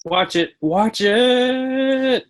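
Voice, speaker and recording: A man's voice: extremely high pitch, extremely slow, heavily acted. A man playing a resolute action hero under pressure in an amateur screenplay table read. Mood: urgent shouting.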